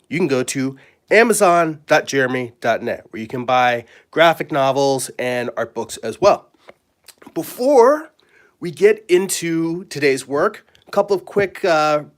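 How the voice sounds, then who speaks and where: Hoarse man with a cold. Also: fairly high pitch, sing-song. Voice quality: raspy, with throat clearing. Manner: rambling, friendly, halting with fillers. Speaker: comic artist and live-stream host. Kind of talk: casual live-stream chat. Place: home studio at a drawing table.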